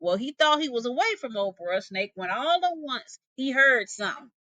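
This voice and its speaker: A woman's voice: fairly high pitch, expressive, normal speed, sharp tone, no room sound. A woman narrating a folktale expressively, with folksy dialect phrasing and dramatic delivery. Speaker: storyteller, English teacher.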